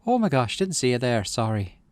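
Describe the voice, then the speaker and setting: A man's voice: medium pitch, big pitch swings, fairly quick, dry recording, natural voice. A man voicing a chirpy, friendly, gushing youngster with a Northern English accent in a visual novel game. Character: chirpy, friendly youngster who loves music and pretty things.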